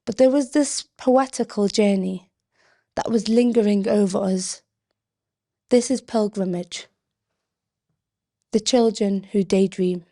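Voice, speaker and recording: A woman poet from Britain giving a spoken-word reading, delivered in deliberate, expressive, measured phrases. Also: medium pitch. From Britain, of Yemeni family.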